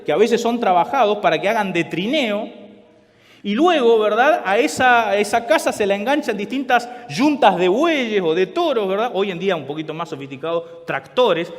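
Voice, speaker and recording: Lively high-voiced preacher in a reverberant hall. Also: male voice. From Chile. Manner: conversational preaching, asks the congregation questions. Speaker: pastor. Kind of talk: sermon. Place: large church hall.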